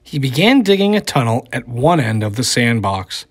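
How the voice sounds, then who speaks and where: A man's voice: mid-range voice, sing-song, normal speed. A man speaking as a teacher to young children, in the style of a read-aloud lesson. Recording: echoey room with long reverb.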